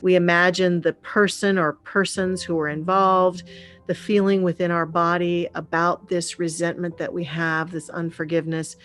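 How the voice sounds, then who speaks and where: A woman leading a guided meditation in a calm, soothing, unhurried way with frequent pauses. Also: fairly low pitch. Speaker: meditation leader. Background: gentle instrumental music.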